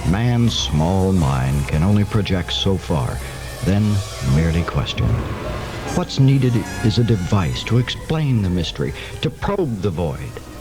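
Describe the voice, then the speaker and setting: A man as a deep, portentous narrator. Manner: dramatic, ponderous. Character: solemn, portentous male anthology narrator. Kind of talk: old-fashioned radio drama narration.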